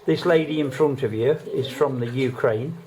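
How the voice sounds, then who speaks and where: A man narrating solemnly in a measured, grave way and then interviewing a guest. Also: mid-range voice, a little mellow, reverberant, a little hiss or hum, poor audio. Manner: grave, measured delivery with rhetorical questions.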